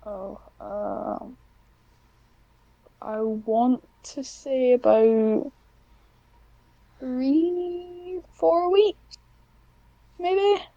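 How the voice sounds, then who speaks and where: A woman's voice: high voice, very slow, vocal fry, long pauses, dark tone, no room sound, dull audio. A woman chatting casually as an interview guest, in a hesitant, self-deprecating way. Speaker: guest, hobbyist audio script writer.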